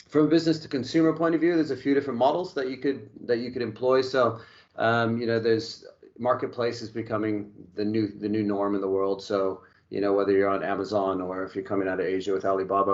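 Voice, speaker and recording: A man's voice: lowish voice, muffled recording. A man from Hawaii with an Australian-tinged accent, presenting as a logistics expert in a conversational webinar talk full of fillers and restarts. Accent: Australian-tinged American.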